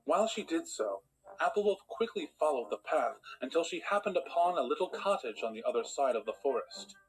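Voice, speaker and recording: A man playing a patient storybook narrator, measured and dry, with a long-suffering manner. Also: on the high side, very animated, poor audio. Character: patient storybook narrator turning exasperated when interrupted.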